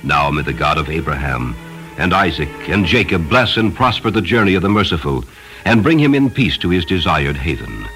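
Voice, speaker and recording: Man voicing a deep-toned, grateful old man blessing solemnly. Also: lively intonation, normal speed, fairly bright tone, faint music behind, rough recording. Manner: solemn, archaic prayerful phrasing.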